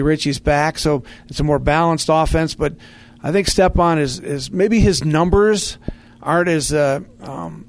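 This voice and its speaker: Higher-pitched man hosting sports talk. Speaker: sports podcast host, radio play-by-play man.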